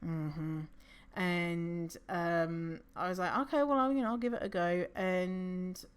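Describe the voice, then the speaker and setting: A non-binary podcast host with a low voice, talking in a casual, rambling solo monologue with fillers, self-corrections and casual swearing. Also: fairly even intonation, slow pace, smooth. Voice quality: low voice for a woman's range.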